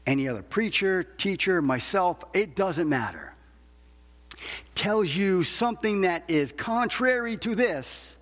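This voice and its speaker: A male preacher with a fairly high, husky voice delivers an evangelical sermon. He hammers points home through repetition and rhetorical questions. His words ring out with long hall reverb. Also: a bit bright. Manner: emphatic, repeats phrases with rhetorical questions.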